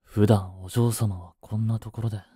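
A man's voice: fairly low pitch, fairly even intonation, moderate pace, mellow, husky, dry recording, clean studio sound. A man playing a devoted, jealous young butler in a voice drama, with formal, clipped, haughty speech. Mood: self-reproachful. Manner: formal, polite, clipped, turning contemptuous toward a rival. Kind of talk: Japanese voice drama acting.

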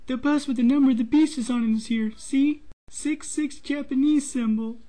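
A woman's voice: sits high, normal speed, dark tone, natural voice. A woman voicing a blunt, sardonic, matter-of-fact sidekick in an anime parody dub.

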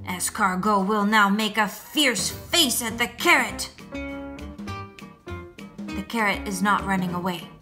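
A woman voicing a boastful, sweet little French snail in a picture book, theatrical and deliberate, talking straight to a child. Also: mid-range voice, music in the background, lightly acted. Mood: indignant, fierce. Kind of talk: picture book read-aloud.

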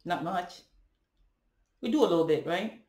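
A young man from Ghana with a Ghanaian accent, speaking a halting, deliberate, reflective monologue to a webcam at home, often checking in with questions. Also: high voice, lively intonation, a little mellow. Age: young adult. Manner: halting, deliberate, rhetorical questions and tag "right?". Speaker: vlogger. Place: indoors, room at home with bare walls and ceiling fan. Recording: echoey room, webcam at a distance.

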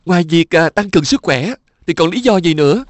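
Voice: mid-range voice, lively intonation, brisk, dry recording, natural voice. A performer voicing a slightly lazy, envious schoolboy in a dubbed cartoon, whining and asking questions. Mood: whiny puzzled. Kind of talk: cartoon dubbing.

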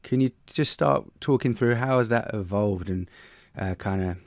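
Chatty podcast host, low dry voice. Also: male voice, normal speed, creaky, dry recording. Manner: friendly, chatty, uses "mate" and "loads". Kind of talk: casual interview hosting. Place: home room with sloped ceiling. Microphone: studio mic on arm with headphones.

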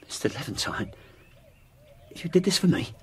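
An actor playing a humble, earnest jockey in a radio drama, deferential and hesitant. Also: male voice, a little high, big pitch swings, moderate pace, a little hiss or hum. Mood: grateful. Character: humble, earnest jockey seeking second chance.

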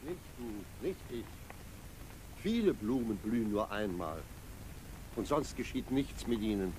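An actor playing a gentle old judge in a 1950s radio drama, speaking sadly and consolingly. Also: male voice, on the low side, animated, a little slow. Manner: sad, consoling. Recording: faintly noisy old radio recording.

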